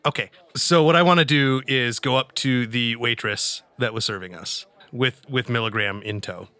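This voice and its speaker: A man's voice: mid-range voice, normal speed, bright, forceful tone. A man playing a tabletop role-playing game, breezily narrating and acting out his character in a playful, casual, improvised way. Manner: breezy, playful, acts out dialogue in first person.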